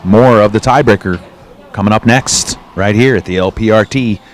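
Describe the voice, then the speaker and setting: A man calling play-by-play at a racquetball match, with short relaxed remarks. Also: on the low side, moderate pace, a little hiss or hum. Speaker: play-by-play commentator. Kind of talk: live sports commentary.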